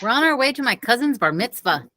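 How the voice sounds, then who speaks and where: A woman voicing an inquisitive, suspicious schoolboy with expressive, questioning delivery in a read-aloud children's story. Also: fairly high pitch, fast talker. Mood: nosy, suspicious. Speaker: co-reader of a children's novel.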